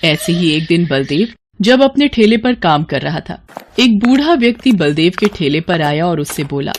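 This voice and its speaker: A woman's voice: on the low side, big pitch swings, moderate pace, slight background noise. A woman narrating a scripted moral tale for children in a storytelling style.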